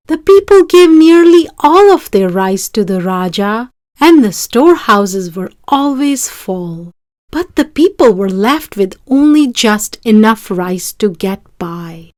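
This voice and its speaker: A woman reading a children's story aloud in an expressive, deliberate storytelling way. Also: mid-range voice, no room sound, studio quality. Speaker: storybook reader.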